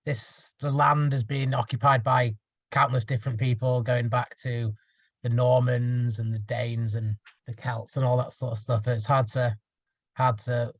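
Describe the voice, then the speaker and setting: Flat-voiced man. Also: medium pitch, a little slow, no room sound. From Yorkshire, England. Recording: dull, dry call audio.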